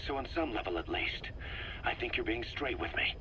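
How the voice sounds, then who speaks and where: A man's voice: mid-range voice, lively intonation, brisk, close to an everyday voice. A man playing a bitter, suspicious soldier in a video game, confronting someone with accusations. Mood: bitter, accusing. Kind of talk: dramatic video game voice acting. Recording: faint noise, recorded tape audio.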